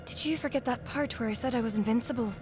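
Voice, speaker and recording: A woman's voice: on the high side, quick pace, a little mellow, faint music behind, lightly acted. A woman playing a cruel, gloating immortal enchantress in an audio drama, taunting and theatrical, savoring her words. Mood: smug, menacing. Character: gloating, cruel immortal enchantress who transforms people into swans.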